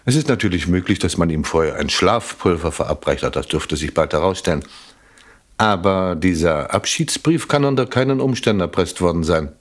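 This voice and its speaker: An actor playing a shrewd, courteous British police inspector in a German radio crime drama, speaking in a measured, drily insinuating way. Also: male voice, low voice, lively intonation. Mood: dry, polite.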